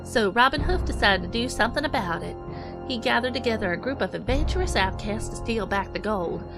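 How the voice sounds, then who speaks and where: A woman's voice: on the high side, lively intonation, a little fast, over music, poor audio. A woman voicing a tender, folksy mother pony, telling bedtime stories in a motherly way. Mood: storytelling. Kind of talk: acted bedtime storytelling.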